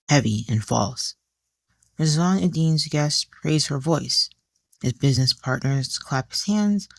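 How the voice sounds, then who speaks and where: A woman narrating a scripted audio drama with deliberate, measured storytelling. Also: low-pitched, no room sound. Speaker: author and narrator.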